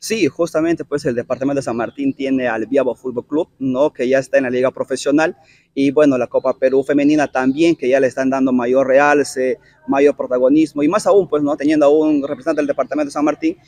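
A man in his thirties with a Peruvian accent, a youth football coach answering an interview on an outdoor pitch, measured and explanatory. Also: fairly even intonation, darkish tone, no echo. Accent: Peruvian Spanish. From Peru. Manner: measured, explanatory, frequent tag questions. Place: outdoor artificial-turf football field. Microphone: handheld reporter mic held close.

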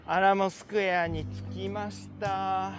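A middle-aged man with a high voice narrates a city walk, polite and enthusiastic as he points things out. He speaks outdoors into a handheld camera. Background music runs clearly under his voice. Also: flat delivery, a little slow, a bit bright. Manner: chatty, polite, enthusiastic guide. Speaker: vlogger. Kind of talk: casual walking tour narration. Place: outdoors on city streets and in a park. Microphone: handheld wide-angle camera.

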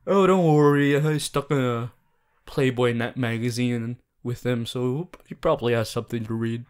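A man voicing an earnest, fussy but caring student class president in an ASMR roleplay, gently bossy and often stumbling over his words. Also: mid-range voice, fairly even intonation, normal speed, clear, resonant tone, close to an everyday voice. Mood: gently nagging. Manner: hesitant, repeating himself, gently bossy. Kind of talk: ASMR audio roleplay addressed to the listener.

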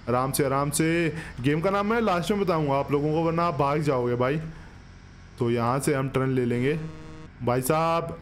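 A man, giving casual, chatty gameplay commentary in a joking way, close on a desk microphone. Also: fairly high pitch. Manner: chatty, joking, addresses viewers as bro. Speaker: gaming video host. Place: small indoor studio setup. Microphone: desk microphone up close, with headset.

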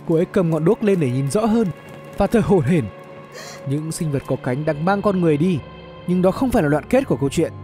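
A man with a fairly high, mellow voice narrates a scripted adventure story. His delivery is expressive and dramatic, with soft music playing underneath. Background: background music.

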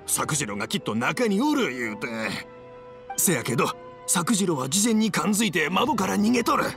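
A man voicing a flustered, excitable elderly fireworks maker in an anime game, with a creaky voice and Kansai accent. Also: high-pitched, moderate pace, faint music behind, heavily acted. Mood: agitated. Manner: excitable, colloquial.

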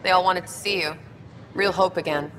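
A woman plays a commanding, friendly military aide in a sci-fi game. Her voice is on the low side and brisk. It comes from a little way off in a reverberant hall with noisy ambience. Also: fairly even intonation, recorded from a distance, lightly acted.

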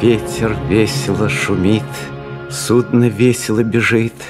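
A man's voice: on the low side, fairly even intonation, slow, music in the background. A man narrating a fairy tale in verse, in a theatrical, declamatory manner.

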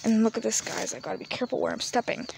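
A woman's voice: on the high side, animated, rapid-fire, gravelly, darkish tone. A young woman vlogging while walking around a farm yard, chatty and often dropping to a whisper. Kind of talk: casual walk-around vlog. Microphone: handheld phone camera. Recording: noisy outdoor phone audio.

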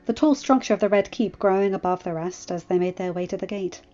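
A woman's voice: medium pitch, quick pace, mellow. A woman reading a story aloud as a scripted narration. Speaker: amateur fan fiction narrator. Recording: muffled lo-fi recording, music behind. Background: music.

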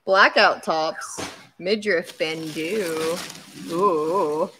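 A young woman co-hosting a live unboxing stream in a storage room, with excited exclamations over each item. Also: fairly low pitch, very slow, slight background noise, low-quality recording. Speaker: co-host. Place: storage room stacked with boxes.

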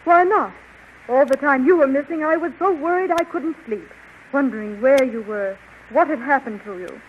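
A woman's voice: high-pitched, moderate pace, natural voice. A woman acting a jealous, proud young heroine in a 1930s radio serial, sarcastic and indignant. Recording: muffled, hissy 1930s radio recording.

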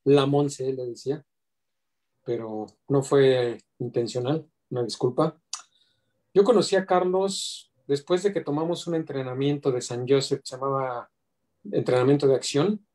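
A man's voice: medium pitch, fairly even intonation, normal speed, dark tone. A middle-aged man speaking earnestly on a video call, giving a reflective tribute to a friend and mixing in quoted asides. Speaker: community organizer paying tribute.

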